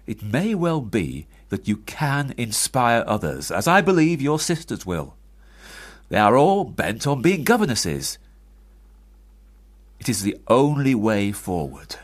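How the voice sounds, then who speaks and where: A stern older parson and father in a radio drama. He speaks slowly and formally, with sweeping rises and falls, in curt commands that expect to be obeyed. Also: medium pitch, huge pitch swings, husky, exaggerated voice. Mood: stern, decisive. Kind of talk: radio play acting.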